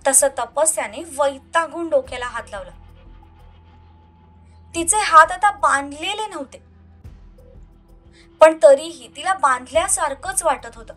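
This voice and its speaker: High-pitched woman narrating a story. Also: lively intonation, a little slow, pauses often, pushed and bright, faint music behind. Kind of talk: dramatic scripted narration.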